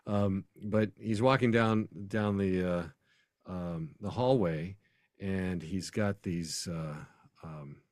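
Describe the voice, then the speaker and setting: A man's voice: sits low, slow, darkish tone, no echo, studio quality. A man speaking as a podcast guest, an arena announcer telling anecdotes in a casual interview.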